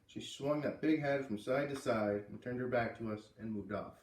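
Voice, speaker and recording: A man reading a children's novel aloud as an expressive storyteller. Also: mid-range voice, normal speed, a little hiss or hum. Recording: roomy echo, distant from mic.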